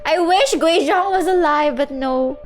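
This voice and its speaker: A woman giving casual, slangy, expressive live commentary as she streams a game. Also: high-pitched, unhurried, over loud music.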